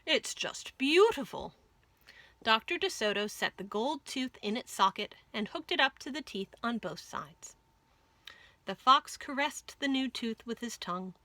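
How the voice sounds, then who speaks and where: A woman's voice: fairly high pitch, animated, moderate pace, pushed and bright, dry recording. A woman narrating a children's story expressively as a storytime host.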